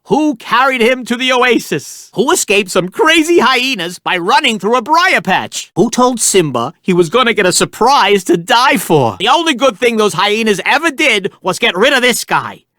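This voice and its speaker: A man's voice: very high voice, big pitch swings, moderate pace, hardly any pauses, sharp tone, dry recording, studio quality, exaggerated voice. A man voicing a brash, wisecracking cartoon meerkat who hosts a video game quiz with theatrical joking asides. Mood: wry, playful. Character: wisecracking, hammy cartoon male meerkat sidekick. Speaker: quiz game host character.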